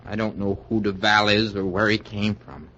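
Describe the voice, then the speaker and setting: A man's voice: on the low side, little pitch movement, moderate pace, bright, forceful tone. An actor playing an earnest, suspicious suitor in a 1940s radio thriller, urgent and insistent in his delivery. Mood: grim, warning. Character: suspicious, jealous, earnest male suitor warning of danger. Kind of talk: 1940s radio suspense acting. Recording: muffled 1940s radio recording.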